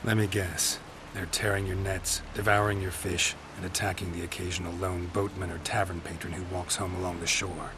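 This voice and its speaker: A very low, gravelly male voice acted by a man for a stoic fantasy game monster hunter. He speaks tersely with deadpan dry humour, over clearly audible background ambience. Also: brisk, noisy background, lightly acted. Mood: businesslike. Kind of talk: video game voice acting.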